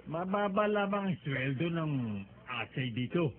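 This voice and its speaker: A man's voice: on the high side, animated, measured pace. A man acting in a radio drama as a stern, grasping elderly father, badgering and dismissive. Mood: exasperated. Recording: tinny, slightly noisy radio drama recording.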